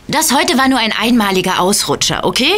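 Cocky, bright-voiced vampire henchwoman. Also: a little high, big pitch swings, brisk. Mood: sly, teasing. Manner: taunting, playful. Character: cocky, mocking, bloodthirsty female vampire henchwoman.

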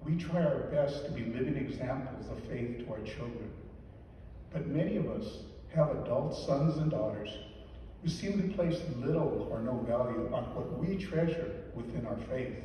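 An older man giving a weekday homily at a lectern, earnest and measured, stressing key words slowly. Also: mid-range voice, expressive, lo-fi sound, slight background noise. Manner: measured, earnest, slow deliberate emphasis. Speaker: deacon or priest giving a homily. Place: large church sanctuary. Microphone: lectern mic, filmed from the back pews. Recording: echoey church from far off.

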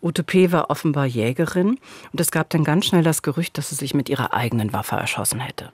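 Measured woman narrating true crime, dry studio sound. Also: deep voice, breathy edge, dry recording. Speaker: podcast co-host. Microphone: studio microphone on a boom. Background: music.

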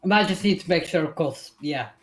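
A man's voice: on the high side, moderate pace, fairly bright tone. A man in casual game chat, talking in a teasing manner during police roleplay.

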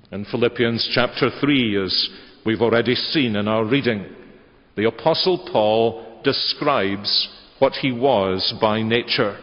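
Older male preacher, unhurried and deliberate, recorded dull in a big reverberant sanctuary. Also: mid-range voice. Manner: measured, gesturing preaching cadence. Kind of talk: sermon. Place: large church sanctuary. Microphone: lectern microphone. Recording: dull church webcast audio with long reverb.

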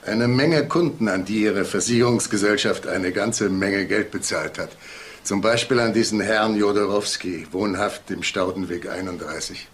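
Actor as an eager lowish-voiced investigator, noisy background. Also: male voice, moderate pace, natural voice. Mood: accusing. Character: eager, chatty male police investigator. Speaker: actor in a crime audio drama. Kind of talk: naturalistic German radio drama acting. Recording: clear background noise and ambience.

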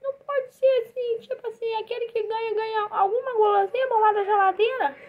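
A woman's voice: very high voice, fairly even intonation, a little fast, dull audio, pitched above the performer's own voice. A girl voicing a whiny boy doll. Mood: pleading. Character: boy doll friend, bargaining for sweets. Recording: noisy home recording.

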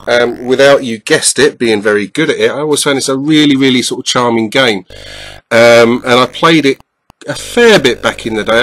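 A man's voice: mid-range voice, fairly bright tone, a little hiss or hum, low-quality recording. A middle-aged man with a stuffed-up nose, casually commenting over a retro game with lots of ums and uhs. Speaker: retro gaming YouTuber.

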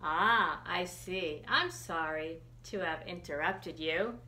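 A woman's voice: lowish voice, takes it slowly, bright, forceful tone, a little hiss or hum. A woman voicing a polite, earnest, hungry anteater in a picture book read aloud, courteous and questioning. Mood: polite, curious.

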